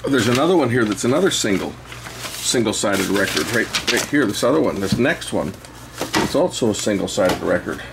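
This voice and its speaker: A man's voice: lowish voice, expressive, a little fast, vocal fry, background noise. A man giving casual hands-on commentary as a host, rambling and thinking aloud as he goes. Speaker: host showing old records. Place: indoors at a cluttered electronics workbench.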